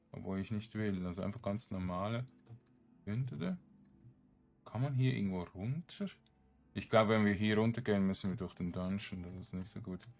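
A man giving casual gameplay commentary, musing aloud to himself in short, halting remarks. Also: on the low side, vocal fry. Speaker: gamer, let's play host.

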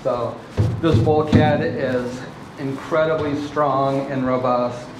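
A man's voice: mid-range voice, slow pace. Easygoing man in an echoey boat hold. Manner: relaxed, plainspoken, lots of ums and uhs. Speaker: boat owner showing a refit. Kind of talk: casual walkthrough video. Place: inside a fiberglass boat hold and on deck. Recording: echoey hull with faint background noise.

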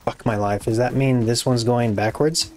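A hoarse young man with a raspy voice, casually commenting on his game as he builds, muttering to himself and swearing when things go wrong. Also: mid-range voice, fairly even intonation, normal speed, a little mellow. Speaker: gaming YouTuber. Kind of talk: casual let's-play commentary.

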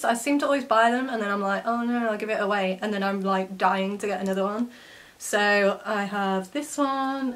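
A young woman chats into her camera in a bedroom full of clothing racks. Her voice is stuffed up and a little croaky from a cold. She rambles casually, full of ums and likes, at a middling pitch. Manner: chatty, with frequent ums and likes. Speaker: vintage fashion vlogger. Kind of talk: casual haul vlog.

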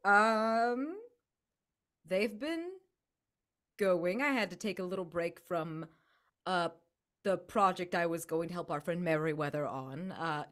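A chatty, evasive fellow from a tabletop game, voiced by a woman. The delivery swoops about with lively pitch, halting and then turning smoothly over-polite, in a lowish register for a female voice. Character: shifty, glib, chatty male drifter friend.

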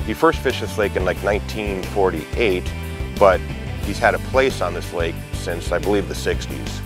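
A man's voice: low-pitched, moderate pace. A man talking plainly in an interview about a remote fishing lake. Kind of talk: interview description. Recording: background music. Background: music.

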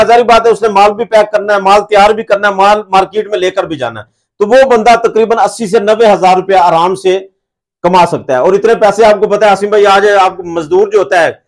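A man in his thirties with a Pakistani accent, explaining things at length as an interview guest. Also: high voice. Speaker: machinery supplier, interview guest. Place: small brick-walled workshop room indoors. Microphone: lapel.

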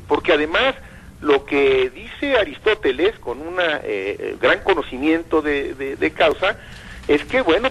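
An older man giving thoughtful commentary in a radio interview, pausing often and repeating words as he thinks. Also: a little high, a bit bright, breathy edge, low-quality recording.

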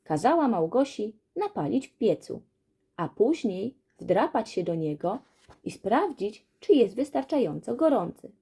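A woman narrating a children's fairy tale as a storyteller, in an expressive scripted reading. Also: mid-range voice, unhurried, creaky, slightly rough.

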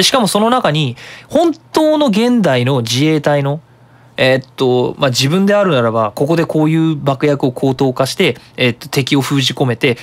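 A man hosting a web radio show, explaining his opinions in a casual, animated monologue. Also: on the high side.

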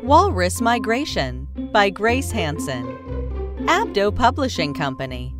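A woman narrating a children's nonfiction book as a scripted read-aloud, with careful, clearly separated phrasing. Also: mid-range voice, big pitch swings, a little slow, over music.

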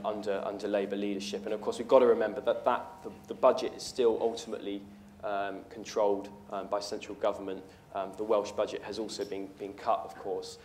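Young man, low-ish voice, earnest, hesitant restarts, lecture hall, faint background noise. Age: young adult. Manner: earnest, many ums and restarts. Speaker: political candidate at a hustings. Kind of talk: answering audience questions. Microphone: room mic at a lectern, far camera.

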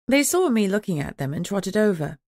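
A woman's voice: medium pitch, sing-song, a little fast, darkish tone, dry recording, studio quality. A woman reading first-person fiction as an audiobook narrator in a scripted storytelling style.

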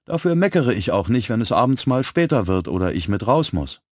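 A man voicing a brisk, frank young woman who works as a police typist. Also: medium pitch, big pitch swings, no room sound, clean studio sound, voice pushed up. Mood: lively.

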